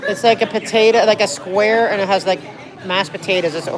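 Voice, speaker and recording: A woman's voice: medium pitch, steady tone, fairly quick. Chatty New Jersey woman livestreaming. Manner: chatty, rambling, reads viewer comments aloud. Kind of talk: casual livestream chat. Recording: crowd chatter in a busy public place.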